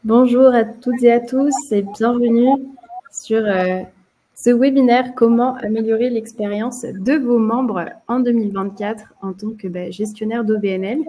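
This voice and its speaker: A woman's voice: on the high side, fairly even intonation, unhurried, dark tone, slight background noise, poor audio. Hesitant French woman hosting a webinar. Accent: French (France). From France. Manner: chatty, many hesitations and fillers. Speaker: marketing presenter hosting a webinar. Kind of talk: live webinar presentation.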